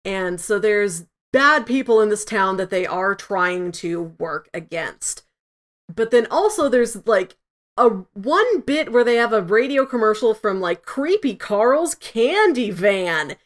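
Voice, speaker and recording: A woman's voice: mid-range voice, sing-song, slow pace. A woman co-hosting a review podcast, chatting casually in an informal way with plenty of filler likes. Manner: informal, peppers talk with "like". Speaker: podcast co-host.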